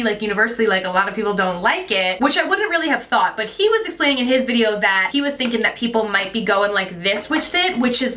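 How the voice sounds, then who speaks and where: Bright, chatty young woman, a bit stuffy. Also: medium pitch. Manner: chatty, heavy use of "like" and "you guys". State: stuffy nose from a sinus infection. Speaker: beauty vlogger. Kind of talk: casual makeup review vlog.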